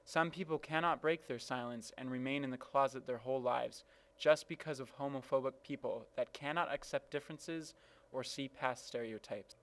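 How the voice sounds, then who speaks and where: A man's voice: mid-range voice, normal speed. A man reading a written personal reflection aloud. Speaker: reader of personal testimony.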